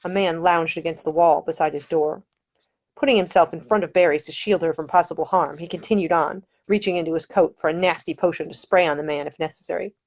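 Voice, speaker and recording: A woman's voice: deep voice, steady tone, quick pace, a little mellow. A woman reading her own historical novel aloud as scripted audiobook narration.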